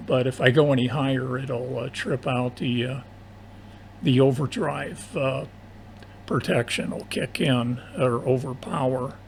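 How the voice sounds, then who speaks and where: An American man in a casual, technical ham radio conversation, explaining in a halting, rambling way over an AM radio transmission. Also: mid-range voice, steady tone, gravelly. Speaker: amateur radio operator. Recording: noisy AM shortwave radio transmission.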